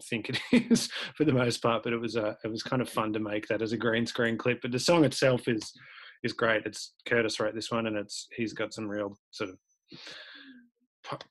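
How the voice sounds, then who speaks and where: An Australian man with a deep, narrow-ranged voice, a band member answering interview questions casually, with lots of fillers and restarts. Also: fairly bright tone. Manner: hesitant, many restarts and fillers.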